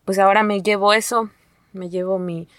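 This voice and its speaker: Woman from mexico, nahuatl native, halting speech, many restarts, dry close sound. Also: medium pitch, steady tone, a bit bright, dry recording. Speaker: asylum seeker. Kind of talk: testimony to an interviewer.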